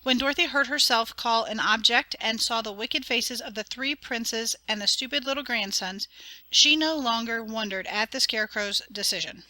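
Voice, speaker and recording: A woman narrates a fairy tale with clear, expressive storytelling. Her voice is bright and rather high. The recording is close and dry, with no room sound. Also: normal speed, dry recording. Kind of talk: dramatic audiobook reading.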